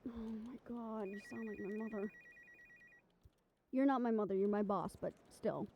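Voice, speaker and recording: A woman's voice: medium pitch, normal speed, highs cut off, slight background noise, close to an everyday voice. A woman playing a brash, stubborn, ambitious young reporter in an improvised audio roleplay, with a sarcastic, cocky, conversational delivery. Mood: exasperated.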